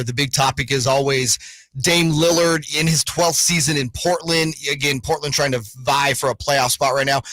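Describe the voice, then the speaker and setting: A man's voice: fairly high pitch, a bit bright, no echo, poor audio. A man hosting a sports radio show, asking questions in a conversational interview style.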